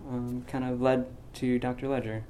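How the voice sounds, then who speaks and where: A young man in his twenties, a graduating physics student, talking in a casual interview, soft-spoken and hesitant with many ums and restarts. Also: medium pitch, level tone, moderate pace, a little hiss or hum, low-quality recording. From United States. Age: 20s.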